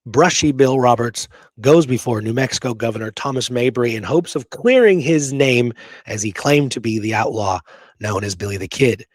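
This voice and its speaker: A man hosts a history podcast in a conversational, emphatic style, working loosely from his notes. His pitch sits in the middle of the male range, and the sound is dry with hardly any room in it. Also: husky, dry recording. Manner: emphatic, conversational, often checking with "right?" and "okay". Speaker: podcast host. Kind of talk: casual history talk from notes.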